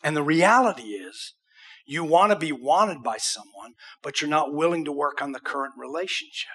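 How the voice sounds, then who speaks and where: A man's voice: a little high, expressive, moderate pace, a bit bright, slightly rough. An American man in his sixties preaching a conversational, emphatic sermon as a pastor, with rhetorical questions. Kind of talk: conversational sermon with acted examples. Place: church auditorium stage.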